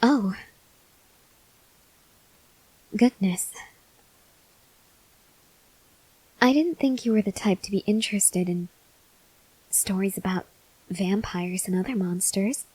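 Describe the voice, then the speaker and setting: A woman playing an earnest, desperate female vampire scientist in an ASMR roleplay, speaking haltingly in a breathy voice that drifts into whispers. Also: medium pitch, dark tone, no room sound, close to an everyday voice, poor audio. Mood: gently curious. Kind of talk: ASMR roleplay, slow dramatic monologue to the listener.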